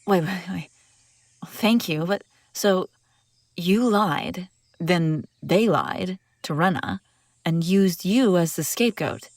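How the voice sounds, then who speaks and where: A woman's voice: fairly low pitch, dry recording, studio quality. A woman playing a commanding, wry escaped princess in an audio roleplay, speaking dramatically and expressively straight to the listener. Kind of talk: immersive audio roleplay acting, one side of a dialogue.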